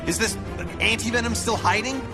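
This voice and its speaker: An actor playing an impatient futuristic superhero in a video game, curt, commanding and intense. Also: male voice, sits high, brisk, over loud music, close to an everyday voice. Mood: urgent. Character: urgent, stern, impatient futuristic male superhero. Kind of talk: dramatic video game cutscene acting.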